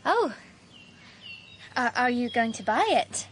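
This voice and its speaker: High, gentle young heiress. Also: female voice, sing-song, slow pace, slight background noise. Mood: shy, curious. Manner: hesitant, light, inquisitive. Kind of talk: radio drama dialogue.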